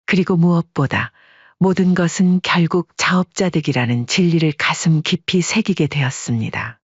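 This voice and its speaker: A woman narrating a story in an even, measured way, her voice slightly husky. The recording is dry and close, with a somewhat muffled tone. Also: on the low side, dry recording.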